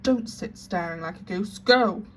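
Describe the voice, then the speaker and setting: A woman's voice: medium pitch, normal speed, darkish tone, a little hiss or hum, natural voice. A woman doing a cold, stern headmistress. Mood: harsh. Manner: harsh, clipped commands.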